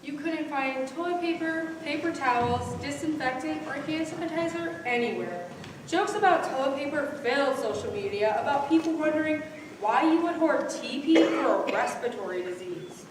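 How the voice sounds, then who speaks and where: A woman's voice: high voice, animated, normal speed. A woman playing a plain-spoken narrator in a stage play. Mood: matter-of-fact. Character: female narrator, plainly recounting hard times. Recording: echoey hall from far off, faint noise.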